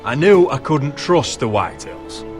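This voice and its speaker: A defiant young lord of a fantasy game, played by a man, with a medium-pitched voice. He is firm and formal, with lively intonation over faint music. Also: a little slow, close to an everyday voice. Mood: stern, guarded. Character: defiant young noble lord, stern and guarded. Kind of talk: dramatic fantasy video game acting. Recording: music behind the voice.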